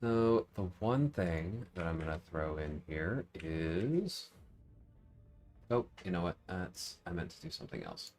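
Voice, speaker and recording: A man with a very low-pitched voice talks over a game as a livestream host, with music playing behind him. He rambles casually, thinking out loud and addressing viewers as he goes. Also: lively intonation, a little slow. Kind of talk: casual gaming livestream commentary.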